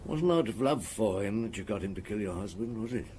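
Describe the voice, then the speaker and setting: Radio drama lead: actor as middle-aged police chief, low voice, measured, probing yet compassionate, faint ambience behind. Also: male voice, expressive, slight background noise, lightly acted. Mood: quiet, sympathetic. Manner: measured, probing, quietly sympathetic.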